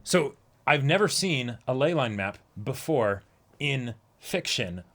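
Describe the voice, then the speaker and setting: A man's voice: mid-range voice, expressive, slow, fairly bright tone. A man chatting casually as co-host of a reaction show, talkative, agreeable and enthusiastic in manner. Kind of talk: casual discussion of an anime episode.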